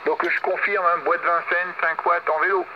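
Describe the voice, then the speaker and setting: A man's voice: high-pitched, flat delivery, normal speed. A man chatting casually as an amateur radio operator, rambling and hesitant, often repeating his phrases. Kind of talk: casual ham radio chat. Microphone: voice received over amateur radio. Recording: dull, very noisy shortwave radio reception.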